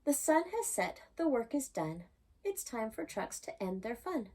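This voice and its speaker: A woman storytime reader with a fairly high, soft-toned voice narrates a rhyming picture book for young children. She takes it very slowly and gently, with a singsong lilt suited to bedtime. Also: very animated.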